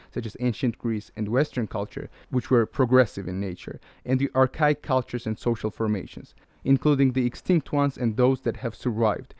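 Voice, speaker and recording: A man's voice: medium pitch, warm tone, no echo. Man reading a lecture. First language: Georgian. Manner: measured, lecturing. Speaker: video essay narrator. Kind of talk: scripted narration.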